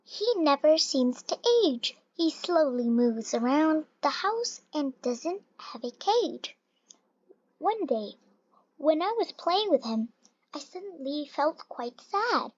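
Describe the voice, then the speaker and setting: A reader telling a rhyming children's story in an expressive, deliberate storytelling manner. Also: sits high, no room sound. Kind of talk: read-aloud of a rhyming children's picture book. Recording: dull lo-fi recording, little room sound.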